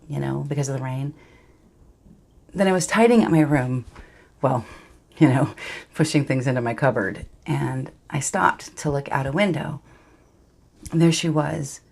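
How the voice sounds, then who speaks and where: A woman performing a monologue as a wistful girl remembering a friend, gentle and hushed, with halting, intimate storytelling and a creaky voice. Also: low voice, full character voice. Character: wistful girl recalling a lonely childhood friend.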